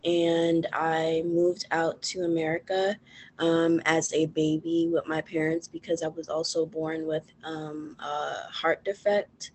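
Guest, twenties, from Haiti, flat low delivery, halting and full of fillers, video call. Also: female voice, breathy edge. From Haiti, raised in the US Northeast. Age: 20s. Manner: hesitant, many "um"s, thoughtful. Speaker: interview guest. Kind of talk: casual interview talk.

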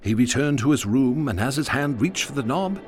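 A man narrating a horror radio drama in an ornate, measured, theatrical manner. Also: mid-range voice, expressive, slightly rough. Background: music.

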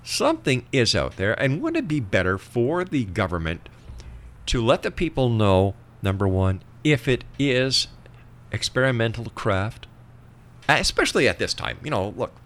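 A man's voice: mid-range voice, very animated, moderate pace, a bit bright. A man hosting an interview show, talking conversationally and making his points with emphasis.

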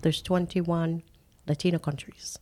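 An older woman from the Caribbean, a guest in an interview, speaking unhurriedly and halting with fillers. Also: on the low side, little pitch movement, a little mellow. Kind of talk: casual interview chat.

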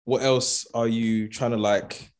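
A man's voice: medium pitch, fairly even intonation, slow, a little mellow. A young man around twenty co-hosting a podcast, easygoing and slangy in casual chat. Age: young adult. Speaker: podcast co-host.

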